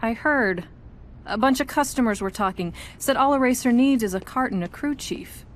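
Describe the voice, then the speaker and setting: Wry, easygoing shop woman played by an actress, higher-pitched game character, light background noise. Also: normal speed, natural voice. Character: easygoing, quirky woman running a paint shop. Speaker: paint shop owner character.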